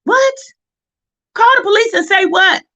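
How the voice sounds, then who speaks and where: A woman's voice: extremely high pitch, unhurried, pushed and bright. An American woman giving casual, opinionated commentary as a reaction video host, blunt and sassy, often exasperated and firing off rhetorical questions.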